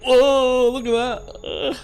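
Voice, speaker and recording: A man's voice: very high voice, slow, creaky. A man hosting a gaming video, reacting casually and with swearing as he reads a horror visual novel aloud. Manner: profane, expressive reactions. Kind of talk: casual let's play commentary mixed with reading game text aloud.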